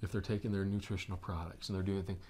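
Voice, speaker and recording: A man's voice: low voice, flat delivery, fast talker, darkish tone. An American man in his forties giving informal, emphatic answers as an interview guest. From United States. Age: 40s. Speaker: interview guest, network marketing executive.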